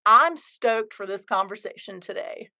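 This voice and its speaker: Woman podcast host, medium pitch, eager and upbeat. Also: animated, a little slow, bright, forceful tone. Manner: upbeat and enthusiastic. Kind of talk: podcast interview hosting.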